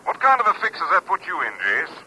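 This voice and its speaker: A man's voice: sits high, huge pitch swings, fast, sharp tone, a little hiss or hum, full character voice, poor audio. An American man playing a businesslike police captain through a telephone filter in a 1950s radio drama.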